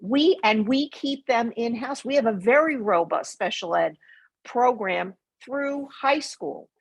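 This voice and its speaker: A woman with a husky voice, a school board chair speaking informally in a meeting discussion. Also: a little high, lively intonation, unhurried.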